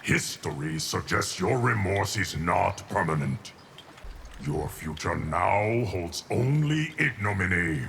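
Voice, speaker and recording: A very gravelly, low-pitched immortal guardian for a video game. He is stern and formal, weighing each phrase slowly and solemnly. There is a faint noise behind him. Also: lively intonation, a bit bright, heavily acted. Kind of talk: video game character dialogue.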